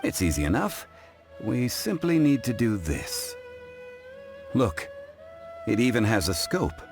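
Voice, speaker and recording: A very deep male voice for a wise, scholarly gentleman in a fantasy game. He is formal and unruffled, with courteous phrasing and a widely moving melody, over faint music. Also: big pitch swings, slow pace, heavily acted. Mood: thoughtful. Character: composed, scholarly, dignified gentleman of ancient wisdom. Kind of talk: English game voice acting. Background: background music.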